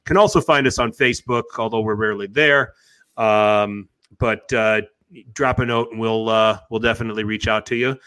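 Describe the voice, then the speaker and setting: Bright-voiced chatty man in his forties. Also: on the low side, normal speed, smooth. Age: 40s. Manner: relaxed, chatty, many fillers, laughs easily. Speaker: podcast host. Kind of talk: casual podcast sign-off.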